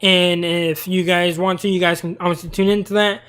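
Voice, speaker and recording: A man's voice: high voice, level tone, moderate pace, a bit bright, clean tone. A young man streaming and chatting casually about cartoons in a rambling, talkative way. He is tired and losing his voice. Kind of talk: casual live-stream commentary.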